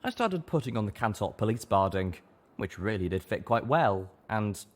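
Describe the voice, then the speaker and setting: A man with a fairly low voice narrates a story. His scripted reading is expressive, with lively swings in pitch. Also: moderate pace. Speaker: audiobook narrator.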